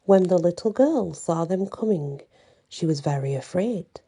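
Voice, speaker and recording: A woman reading a story aloud in an ASMR bedtime style, with halting, deliberate phrasing. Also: on the low side, lively intonation, warm tone.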